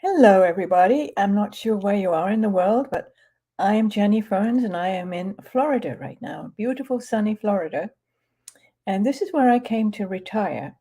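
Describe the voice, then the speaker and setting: An older woman from England with a British accent, an author chatting casually about her book, relaxed and chatty with frequent pauses. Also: mid-range voice, husky.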